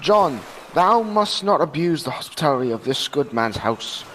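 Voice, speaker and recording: A man's voice: a little high, sing-song, moderate pace, a bit bright. A man playing an honourable, cautious seafarer in an amateur radio drama, with grave, archaic speech. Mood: grave warning. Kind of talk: student radio drama acting. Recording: echoing hall, faint noise.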